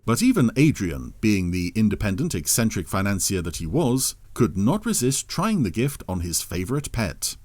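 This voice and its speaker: A man's voice: fairly low pitch, big pitch swings, moderate pace, few pauses, darkish tone, no echo, clean studio sound. A man narrating a scripted audio story in a dramatic storytelling style.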